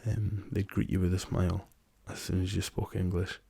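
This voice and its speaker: A hoarse young man speaks softly in a low, flat, gravelly murmur. His raspy voice carries a lingering cough's strain, and his dark tone stays gentle and hesitant, often dropping to a whisper. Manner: soft-spoken, slow and hesitant with many ums, drifting into whispers. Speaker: ASMR channel host. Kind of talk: soft-spoken ASMR travel chat.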